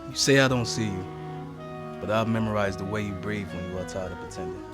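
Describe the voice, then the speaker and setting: A man's voice: on the low side, a little fast, lightly acted. A man playing a guilt-ridden, defensive young boyfriend in an earnest, poetic dramatic monologue. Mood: defensive, pleading. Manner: poetic, earnest, emotional delivery. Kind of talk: dramatic spoken-word monologue. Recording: clear music playing behind.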